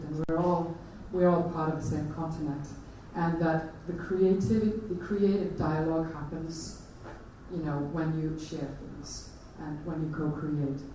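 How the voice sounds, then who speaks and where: A woman from Iceland with an Icelandic accent giving a conference talk, halting often with fillers and restarts. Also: low voice, mellow, a little hiss or hum. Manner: hesitant, many fillers and restarts. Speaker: conference speaker. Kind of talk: presentation talk. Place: large conference hall. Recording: echoey hall, voice from far off.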